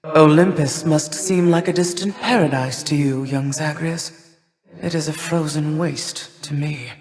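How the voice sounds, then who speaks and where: A woman playing an imperious, cold older goddess of winter and harvest in a video game, with stately, formal, measured delivery. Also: low voice, fairly even intonation, crowd noise. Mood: stern, regal. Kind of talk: theatrical video game character lines. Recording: long reverberant hall echo.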